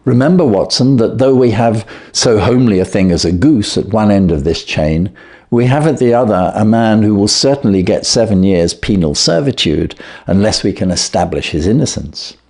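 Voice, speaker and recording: A man voicing a brisk, shrewd, self-assured Victorian detective in a storybook, speaking decisively and articulately. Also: lowish voice, expressive, a little mellow. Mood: resolute. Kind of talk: storybook character voice.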